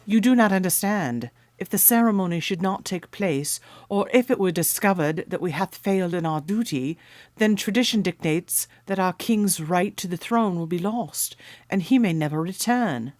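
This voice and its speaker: A woman's voice: on the low side, lively intonation, normal speed, darkish tone, dry recording, close to an everyday voice. A woman playing a solemn, courtly noblewoman of about thirty in a radio drama, speaking in formal, archaic phrasing. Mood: grave, pleading. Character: solemn, courtly 31-year-old noblewoman guarding a royal sword.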